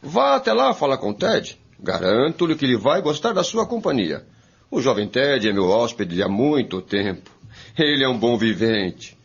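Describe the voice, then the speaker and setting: A hearty, courteous innkeeper from a radio play, acted by a man, with hugely swinging intonation and a gravelly edge. He reassures and encourages in a friendly way, on a dull, lo-fi recording. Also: mid-range voice, big pitch swings, moderate pace, full character voice. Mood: reassuring. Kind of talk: radio drama acting. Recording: dull lo-fi audio.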